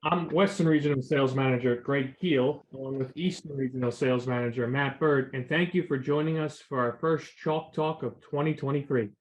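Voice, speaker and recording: An upbeat man opening a webinar as a regional sales manager, friendly and a little scripted with occasional fillers. His pitch sits right in the middle for a man. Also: steady tone, a little fast, few pauses, slight background noise, poor audio. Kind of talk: scripted intro.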